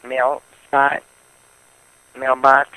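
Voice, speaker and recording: A man on a phone call, answering in a plain, deliberate, unruffled manner. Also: mid-range voice, monotone, pushed and bright, dry recording. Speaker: call taker, prank call target. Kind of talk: phone conversation.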